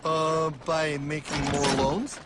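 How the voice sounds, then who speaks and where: A man with an American accent voicing a naive, whiny cartoon everyman with an excitable, protesting manner. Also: on the high side, slow pace, very noisy. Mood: worried, whiny. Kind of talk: animated explainer cartoon acting. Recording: music bed behind the voice.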